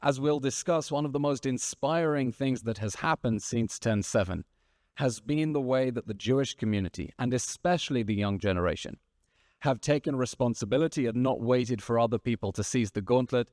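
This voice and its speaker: A man's voice: mid-range voice, moderate pace, darkish tone, dry recording, clean studio sound. A man in his thirties moderating a conference panel on stage, with rousing, repetitive rhetorical phrasing. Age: 30s.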